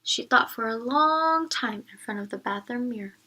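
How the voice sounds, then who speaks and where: A woman reading a children's story aloud as a teacher, pausing to ask questions and explain words expressively. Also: on the high side, lively intonation, background noise.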